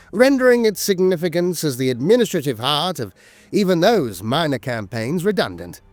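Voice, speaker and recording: A man's voice: a little high, darkish tone, no echo. A man narrating scripted lore as a storyteller, with a grave, dramatic delivery.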